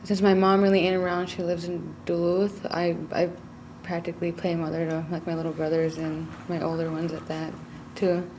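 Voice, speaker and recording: A woman's voice: on the low side, little pitch movement, normal speed, few pauses, a little mellow, a little hiss or hum, poor audio. A young woman student talking casually and plainly about her home life. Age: young adult. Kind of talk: conversational interview.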